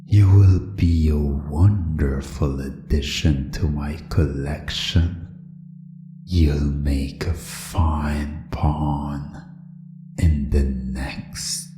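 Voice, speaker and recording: A man's voice: very deep voice, fairly even intonation, extremely slow, warm tone, echoey room, pitched below the performer's own voice, full character voice. A man playing a sinister, possessive game master in a hypnosis audio drama, commanding and gloating, drawing out his words. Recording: music behind the voice.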